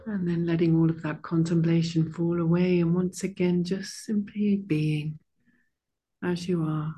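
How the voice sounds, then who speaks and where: A soft-spoken woman leading a meditation and then chatting with her online class as their teacher, slow and gentle at first, then warm and full of fillers. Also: lowish voice, level tone, breathy edge. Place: monastery room.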